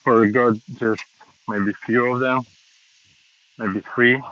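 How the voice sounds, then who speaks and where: Man vlogging on a walk: slow, halting learner English, dull dry phone audio. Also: mid-range voice, fairly even intonation, no echo. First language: Russian. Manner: slow, hesitant learner English with many pauses. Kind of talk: casual walking vlog monologue. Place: outdoors, walking around a city.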